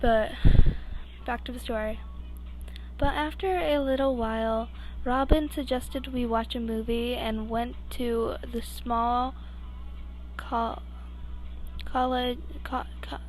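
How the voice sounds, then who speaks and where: A woman's voice: on the high side, steady tone, takes it slowly, a little hiss or hum, low-quality recording. A young woman reading fan fiction aloud informally, stumbling over words and breaking off into asides. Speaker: amateur podfic reader.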